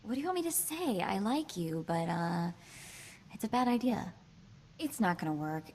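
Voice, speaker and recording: A woman voicing a quick-witted, sardonic young female hacker in a space video game, chatty in manner. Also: medium pitch, sing-song, darkish tone, slight background noise, lightly acted. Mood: reluctant.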